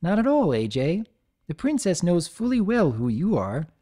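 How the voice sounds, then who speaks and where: Man doing a bookish young pony mare, precise, friendly, raised pitch, lively. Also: moderate pace.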